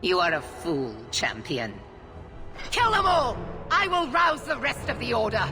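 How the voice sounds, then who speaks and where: A woman's voice: fairly high pitch, huge pitch swings, measured pace, over music. An actress playing a stern, zealous female knight commander, an imperious and declamatory villain in a fantasy game. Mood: threatening. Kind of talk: fantasy video game voice acting.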